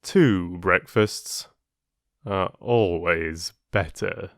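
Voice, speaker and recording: A man reading a children's fable aloud in a slow, expressive storytelling manner. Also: sits low, no room sound, clean studio sound.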